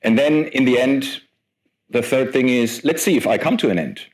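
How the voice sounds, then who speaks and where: A man in his forties giving a lively conference talk as guest speaker, chatty and self-deprecating with many fillers. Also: medium pitch, moderate pace, rough recording. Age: 40s.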